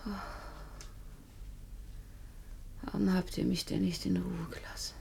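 A woman's voice: fairly low pitch, level tone, fast talker, gravelly, dark tone, slight background noise. An actress playing a weary, despairing young woman in a radio drama, half whispering with bitterness. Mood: reproachful.

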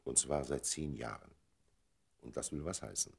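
An actor playing a wise, fatherly diplomat of fifty-six in a radio play, with measured, theatrical phrasing. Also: a bass voice, gravelly, husky, full character voice. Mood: gentle, resigned. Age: 50s. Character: 56-year-old diplomat, wise, fatherly, self-denying gentleman friend.

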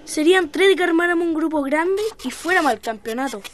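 A woman's voice: very high-pitched, expressive, quick pace, slight background noise. A woman playing an eager, alien-loving schoolchild with a colloquial Chilean accent in an educational radio drama. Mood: planning. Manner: colloquial, youthful.

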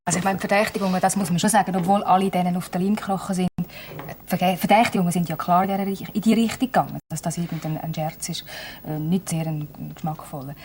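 A woman's voice: lowish voice, steady tone, fast, darkish tone, a little hiss or hum. A woman interviewing a guest in an old TV program, asking short probing follow-up questions. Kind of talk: archival TV interview.

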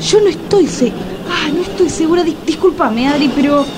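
A woman's voice: sits high, lively intonation, moderate pace, noisy background. An actress with a Rioplatense Spanish accent playing a nervous, suspicious woman who has just separated. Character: anxious newly separated woman, Cecilia.

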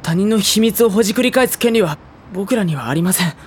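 An actor playing a troubled, withdrawn high school boy in an audio drama, narrating inner thoughts and speaking in a guarded, brooding way. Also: animated, fairly quick, slight background noise. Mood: defiant. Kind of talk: dramatic inner monologue and dialogue.

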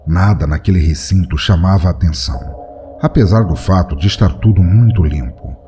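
Deep, dark-voiced male horror narrator. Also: moderate pace, faint music behind. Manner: dramatic, tense storytelling. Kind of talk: first-person horror story reading.

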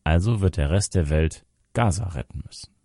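A man's voice: sits low, lively intonation, moderate pace, dark tone, dry recording, clean studio sound. A man reading a scripted political commentary as narration. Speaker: reader of a translated article.

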